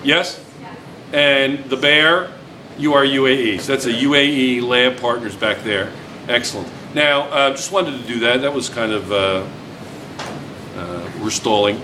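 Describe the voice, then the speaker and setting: A man's voice: medium pitch, measured pace, bright, forceful tone. A man teaching a class, chatty and teasing, repeating his students' answers back to them. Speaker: physics teacher. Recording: noisy classroom recording.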